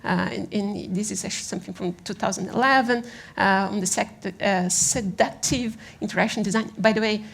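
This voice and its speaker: A Brazilian woman speaks as a presenter at a design conference. Her voice has a noticeable creak and sits at an ordinary female pitch. She talks informally and haltingly with a Brazilian accent, often seeking agreement as she goes. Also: steady tone, slight background noise. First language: Portuguese. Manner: halting, conversational, often checks with "right?".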